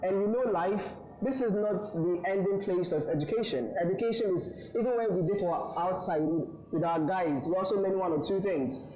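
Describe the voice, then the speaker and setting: A man's voice: high voice, normal speed, hardly any pauses, dark tone. A young man from Ghana giving an earnest motivational speech to graduates, with frequent fillers and repeated words. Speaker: invited speaker. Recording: echoey room.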